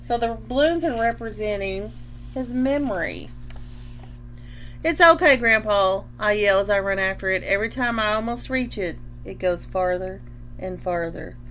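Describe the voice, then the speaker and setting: A woman reading a picture book aloud like a teacher, pausing to explain and check understanding, with a noisy hum. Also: mid-range voice. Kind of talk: picture book read-aloud with questions to children.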